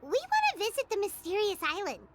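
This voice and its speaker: A pint-sized fairy companion from a fantasy video game, chirpy and excitable, with a high, childlike voice that leaps up and down across every exclamation. She talks about herself by name and bursts into every line with eager enthusiasm. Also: a little fast, slight background noise, heavily acted. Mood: curious.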